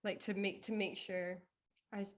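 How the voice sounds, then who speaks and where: A woman talking casually as a guest, thinking aloud in a halting, self-deprecating way as she asks for advice. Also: medium pitch, level tone. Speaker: guest, fiction author. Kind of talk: casual chat, asking for advice.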